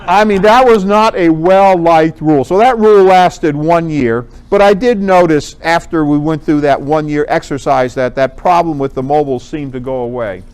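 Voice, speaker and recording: An American man giving an informal presentation, explaining things conversationally and pausing to rephrase. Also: on the high side, a little mellow, smooth, low-quality recording. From Connecticut, United States. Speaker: amateur radio contest organizer, presenter. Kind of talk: informal club presentation with slides.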